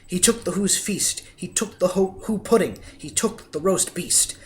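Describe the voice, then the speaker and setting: A man's voice: high-pitched, a little slow, slight background noise. A man reading a rhyming storybook as a dramatic, theatrical narrator. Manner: theatrical, expressive. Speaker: storybook reader.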